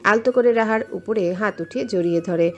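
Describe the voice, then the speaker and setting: A woman with a mid-pitched voice narrates a romantic story from a script. Soft music plays behind her reading. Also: a little fast, highs cut off. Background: background music.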